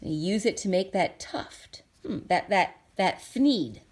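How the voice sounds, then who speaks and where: A woman reading a storybook aloud for children, expressive, pausing to explain and ask simple questions. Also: mid-range voice. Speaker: storybook reader. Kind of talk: children's read-aloud with asides to the listener.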